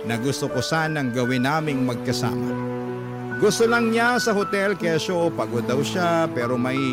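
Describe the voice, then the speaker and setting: A man hosting a radio show, reading a listener's letter as dramatic first-person narration. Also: fairly high pitch. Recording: loud music under the voice. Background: prominent background music.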